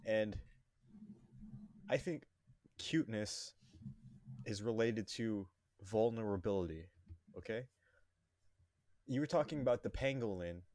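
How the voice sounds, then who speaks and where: A man's voice: on the low side, a little slow, long pauses, darkish tone, no echo, studio quality. A young man co-hosting a podcast, arguing his points casually but emphatically. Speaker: podcast co-host. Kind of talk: casual argumentative chat.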